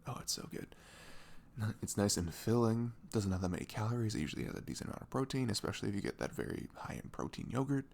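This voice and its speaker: A man with a deep, husky voice plays a caring, affectionate boyfriend in an intimate ASMR roleplay. His delivery swings freely in pitch, rambling and playful. Breathy asides slip toward a whisper, keeping it casual and close. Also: lively intonation, fast, a little mellow. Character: sweet, caring boyfriend chatting the morning after. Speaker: audio roleplay performer.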